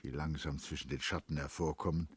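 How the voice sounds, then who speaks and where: A man narrating a literary radio drama in a measured, sombre manner. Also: rumbling low, steady tone.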